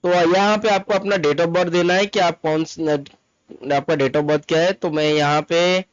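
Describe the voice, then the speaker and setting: Dull-sounding, higher-pitched man explaining steps. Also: steady tone, measured pace, dry recording. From Mumbai, India. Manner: instructional, repeats steps, code-mixes English terms. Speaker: tutorial presenter, travel agent. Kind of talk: informal step-by-step screen tutorial. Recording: dull lo-fi close dry recording. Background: voice-over to screen recording.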